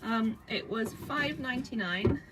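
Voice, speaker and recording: A young woman, croaky from a cold, chatting casually at home about secondhand items she resells, with lots of fillers. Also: fairly high pitch, a little slow. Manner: chatty, rambling with many fillers. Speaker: vintage reseller vlogger. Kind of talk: casual chat. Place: home indoors. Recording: home recording with clear background noise.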